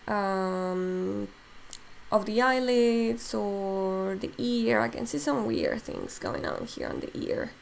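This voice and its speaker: A woman's voice: medium pitch, gravelly, a little mellow, a little hiss or hum, low-quality recording. A woman from Mexico, a Spanish speaker talking in English, casually thinking aloud as she demos digital sculpting on a live stream. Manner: thinks aloud while working, many fillers.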